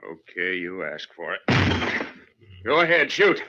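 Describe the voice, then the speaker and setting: A man's voice: low voice, big pitch swings, unhurried, pushed and bright, a little hiss or hum, heavily acted. An actor playing a stern, weary Old West lawman in terse, plain-spoken old-time radio western style. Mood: urgent, commanding. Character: stern, resolute Old West lawman named George, weary and conscience-stricken. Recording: muffled, dull old radio recording.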